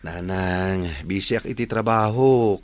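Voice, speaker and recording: A man's voice: lowish voice, measured pace, noisy background, lightly acted. A man playing an easygoing, placating grown son and boyfriend in a radio drama, with a Filipino accent. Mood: placating, teasing.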